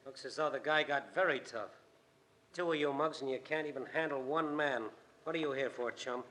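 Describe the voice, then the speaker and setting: An actor playing a tough, bossy, embittered gangster in a 1940s radio drama, with an American accent and clipped, slangy speech. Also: male voice, mid-range voice, normal speed, pushed and bright, natural voice. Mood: irritated, contemptuous. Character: tough, menacing gangster boss, bossy, bitter jilted husband.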